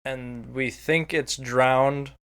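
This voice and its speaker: Male podcast co-host, smooth voice, fairly flat delivery, casual explainer. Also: medium pitch, extremely slow.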